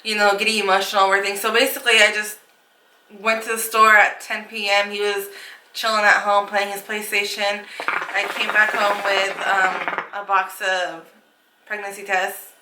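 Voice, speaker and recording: A woman's voice: mid-range voice, level tone, normal speed, reverberant, distant mic, background noise. A woman in her twenties, a makeup artist, chatting casually with her husband in a Q&A video, full of fillers and laughs. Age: 20s. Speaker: makeup artist and channel co-host. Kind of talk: casual couple Q&A chat.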